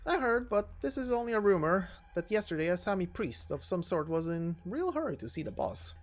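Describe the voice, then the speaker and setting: A man's voice: high voice, very animated, a little fast, faint music behind. A man voicing wary soldiers on a frozen border patrol in a game story, with terse, questioning military talk. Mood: grumbling.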